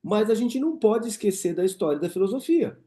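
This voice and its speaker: A man's voice: high voice, sing-song, quick pace, warm tone. A man in his thirties from Brazil hosting a podcast, chatting casually and reminiscing with his guest. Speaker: podcast host. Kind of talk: casual interview chat.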